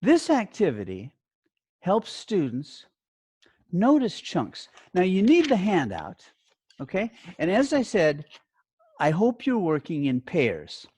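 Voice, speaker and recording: A man giving an instructional lesson as a teacher trainer, speaking deliberately in short phrases and often checking in with brief questions. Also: high-pitched, huge pitch swings, darkish tone, slightly rough. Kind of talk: instructional talk to learner teachers.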